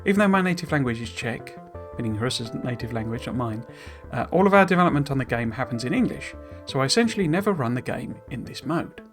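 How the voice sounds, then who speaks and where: Man, middle-pitched voice, streamer reading a dev blog aloud, casual explainer, hesitant, lots of "you know", self-deprecating. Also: expressive, fast, faint music behind. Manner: explains in loose asides full of "you know"; hesitant and self-deprecating over foreign words.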